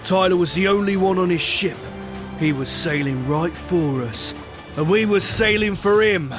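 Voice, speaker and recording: A man's voice: sits high, normal speed, lightly acted. A man playing a boisterous, commanding pirate captain who tells his sea tale in a dramatic storytelling style, with music behind him. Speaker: narrator and captain.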